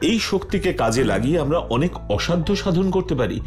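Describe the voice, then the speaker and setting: A man voicing a curious, eager, polite young nephew in a Bengali cartoon, with an expressive, dramatic delivery. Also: on the high side, moderate pace, soft background music. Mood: earnest, resolute. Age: young adult.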